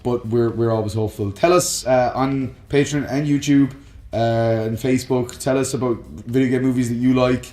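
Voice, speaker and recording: A man's voice: mid-range voice, steady tone, moderate pace, warm tone. A man co-hosting a casual film chat, slipping into imagined quotes as he makes his points. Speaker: co-host. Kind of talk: casual banter about films. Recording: roomy echo, faint music. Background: faint music.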